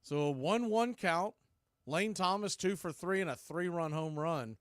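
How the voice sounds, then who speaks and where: Male play-by-play baseball announcer. Crisp, slightly high voice, very dry sound, clipped live calls. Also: unhurried, no echo. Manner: clipped phrases, calls rising on the action. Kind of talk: live play-by-play commentary on a stream.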